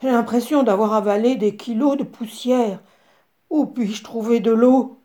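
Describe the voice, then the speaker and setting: A woman's voice: on the high side, a little slow, dark tone, voice pushed up, natural voice. A woman voicing a thirsty male warthog who asks for water in a storybook. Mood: pleading. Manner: pleading, dusty-throated.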